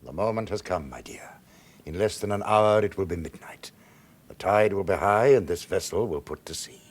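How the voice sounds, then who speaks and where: An actor playing a suave, menacing criminal mastermind in a radio drama, speaking formally and with measured, silky control. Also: male voice, low-pitched, lively intonation, slightly rough. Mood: coolly expectant.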